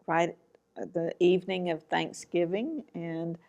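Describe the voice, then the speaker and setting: A woman's voice: on the low side, steady tone, unhurried, vocal fry, a little mellow, lo-fi sound. A woman from the Blue Ridge Mountains co-hosting a show in casual, conversational talk. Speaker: TV show co-host. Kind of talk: casual chat.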